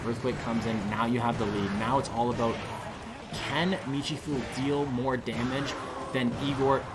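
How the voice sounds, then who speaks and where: Excitable man casting an esports match. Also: mid-range voice, heavy background noise. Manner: rambling, excitable, rising to loud peaks on big plays. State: losing his voice. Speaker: esports caster. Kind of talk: live esports commentary.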